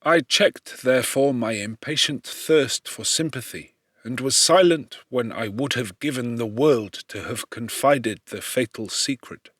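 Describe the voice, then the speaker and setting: A man narrates literary fiction with dramatic, measured delivery. His pitch sits in the middle range and he shapes phrases expressively. The recording is very dry, with no room sound. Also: fairly bright tone, no room sound. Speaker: audiobook narrator.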